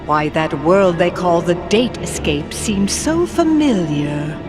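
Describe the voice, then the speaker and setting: Slow, haughty evil sorceress. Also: female voice, on the low side, big pitch swings, music in the background. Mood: scheming, grand. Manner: haughty, theatrical, formal diction. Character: regal, scheming evil sorceress, haughty and menacing. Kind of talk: animated video game villain acting.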